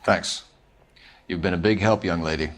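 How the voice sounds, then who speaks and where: An actor playing a terse, probing police detective with a sardonic edge in a crime drama video game. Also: male voice, low-pitched, fairly even intonation, normal speed, background noise, close to an everyday voice. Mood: brisk, polite. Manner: terse questioning.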